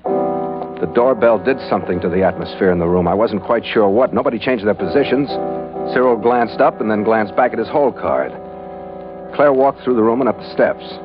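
A man's voice: on the low side, expressive, moderate pace, lightly acted. A man acting as a hardboiled American adventurer in a 1940s radio drama. He tells his own story in terse, sardonic lines. The sound is muffled and old, with prominent music underneath. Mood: tense. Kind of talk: 1940s radio detective acting, first-person narration.

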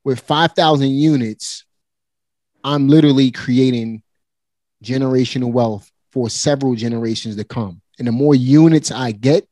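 A forty-year-old man talks in a relaxed, motivating way, speaking right to his listeners. His voice sits at an ordinary middle pitch and is recorded dry and close with no room sound. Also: moderate pace, no echo. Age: 40s. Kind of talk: casual conversation.

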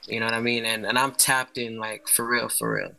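A man from the Bronx, a guest musician, talking in a relaxed, explaining way with lots of "you know". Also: medium pitch, fairly quick, vocal fry, low-quality recording. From North Bronx, New York. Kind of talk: casual interview.

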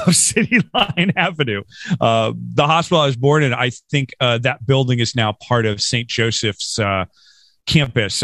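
A man's voice: medium pitch, a bit bright, no echo. Chatty man with a dry, close sound. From Western New York. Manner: rambling, self-deprecating, laughs often. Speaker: podcast guest. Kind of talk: casual interview chat.

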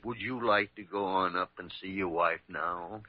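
An actor playing a gruff, husky older senior doctor, crusty but soft-hearted, in an old-time radio drama. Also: male voice, sits low, normal speed, natural voice. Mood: regretful, soft. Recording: muffled old radio recording.

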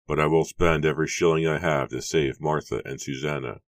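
A man's voice: extremely low pitch, steady tone, brisk, no echo, studio quality. A man voicing a desperate older husband and father in an audiobook, with trembling, pleading, emotional delivery. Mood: shocked, pleading. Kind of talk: dramatic audiobook character voice.

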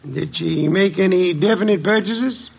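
An actor playing a fussy, imperious genius detective with precise, formal diction in an old-time radio drama. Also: male voice, high-pitched, normal speed, creaky, breathy edge, exaggerated voice, rough recording. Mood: brisk questioning. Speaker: private detective.

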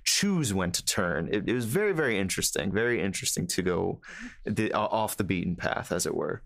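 A man in a casual podcast discussion, talking in a halting way and repeating words as he gathers his thoughts. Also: deep voice, expressive, gravelly, a bit bright.

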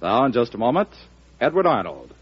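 A man's voice: mid-range voice, huge pitch swings, fairly quick, loud music behind the voice. An American man reading promotional narration in 1940s radio style, earnest, formal and rousing. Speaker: radio announcer. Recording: dull vintage radio broadcast recording.

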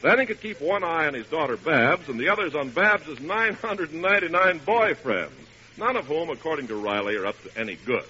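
High-voiced animated 1940s radio announcer, a man. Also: a little fast, slight background noise. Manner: declamatory, polished salesmanship. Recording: dull vintage radio broadcast, music behind.

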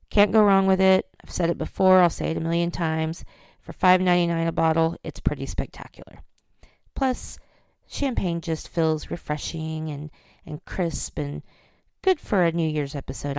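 A woman hosting a podcast solo, talking in a casual, chatty, self-deprecating way, her voice scratchy and hoarse. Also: lowish voice, a little mellow, no room sound. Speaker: podcast host.

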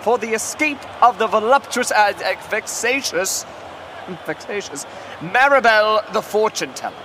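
Very high-pitched, fussy, stammering creature. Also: male voice, expressive, noisy background. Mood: accusing. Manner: halting, stammering, melodramatic. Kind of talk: audio drama acting.

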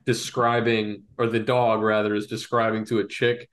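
Animated laughing man telling stories. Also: lowish voice, normal speed. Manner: animated storyteller, laughs often. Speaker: podcast co-host. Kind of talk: casual podcast chat.

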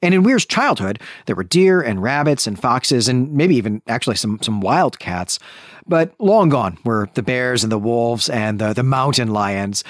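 A man's voice: medium pitch, lively intonation, moderate pace, dry recording. A man with a mid-range voice hosts a book discussion podcast. He talks in a discursive, enthusiastic way, working through details as he goes. The sound is close and dry. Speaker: podcast host.

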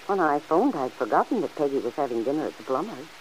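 Earnest older aunt, hissy old radio. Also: female voice, low-pitched, big pitch swings, fast talker, low-quality recording. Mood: friendly. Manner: gently chiding, earnest. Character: kindly, principled older aunt, earnest and forgiving. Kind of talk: old-time radio serial acting.

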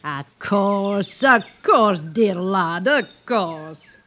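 A wheedling, sing-song old street seller. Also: female voice, medium pitch, animated, very slow, over loud music. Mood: sly. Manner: folksy, cajoling, sing-song. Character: wheedling, sly, chattering old street duck seller woman. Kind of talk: old-time radio drama acting. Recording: muffled old radio recording.

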